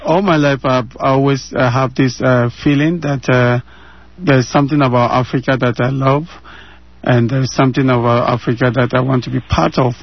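A man's voice: mid-range voice, steady tone, normal speed. A Ghanaian man in his thirties answers interview questions with earnest conviction, hammering his points home by repeating phrases. His voice sits mid-range for a man. The sound is a little dull, as if it comes over a remote line from his home. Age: 30s.

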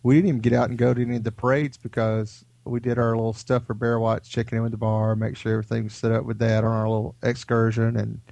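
A man's voice: medium pitch, flat delivery, fast talker, vocal fry, hardly any pauses, a little mellow, no room sound. A man co-hosting a podcast, sick with a head cold, telling stories in a rambling, laid-back way. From Houston area. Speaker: podcast co-host. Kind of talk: casual chat.

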